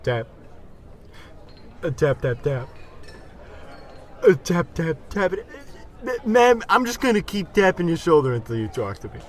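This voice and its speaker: An actor playing a hesitant, eager, wisecracking barfly in an old-time radio comedy, with halting, slangy speech. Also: male voice, fairly high pitch, sing-song.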